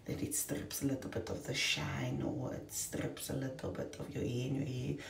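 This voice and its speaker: A woman in her thirties talks slowly in a low, gravelly, mellow voice, rambling with fillers and restarts. She sits back from the camera in a small, echoey apartment room, and faint hiss runs under her voice. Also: flat delivery, far from the mic. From South Africa. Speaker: vlogger. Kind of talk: casual vlog talk to camera. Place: small basement apartment, indoors. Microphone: camera on a table at close range.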